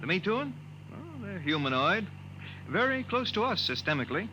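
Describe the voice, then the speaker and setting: A polite driver who holds something back. The voice sits a little high. It comes from a 1950s radio play with clear background noise. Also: male voice, big pitch swings, moderate pace, pushed and bright, muffled recording, exaggerated voice. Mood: informative. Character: deferential local chauffeur, guarded, hinting at trouble. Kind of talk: 1950s radio drama acting. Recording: noisy old 1950 radio recording.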